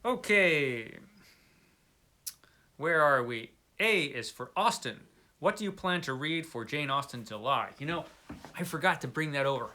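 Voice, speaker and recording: Bright-voiced, playful man chatting about books. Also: fairly high pitch, animated, unhurried. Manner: playful, tongue-in-cheek, emphatic declarations. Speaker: book vlogger, host of the channel. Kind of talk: casual chat to camera, answering book tag questions.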